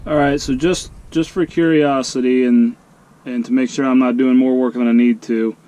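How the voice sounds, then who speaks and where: An easygoing man of middle pitch talks through a wiring job in a truck cab, relaxed and practical, with a faint engine hum behind him. He pauses with fillers while he figures things out. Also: low-quality recording. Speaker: channel host. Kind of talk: casual how-to narration.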